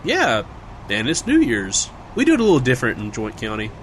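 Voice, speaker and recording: A man's voice: medium pitch, huge pitch swings, brisk, fairly bright tone, a little hiss or hum. A man playing an easygoing, wry small-town local in casual audio drama banter, with a folksy conversational manner.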